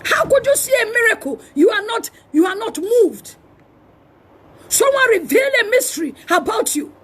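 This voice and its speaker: Shrill, shouting Nigerian woman preacher. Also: sing-song, moderate pace. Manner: forceful exhorting with emphatic repeated phrases; shouting delivery. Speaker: preacher and prophet. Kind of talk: fiery charismatic sermon.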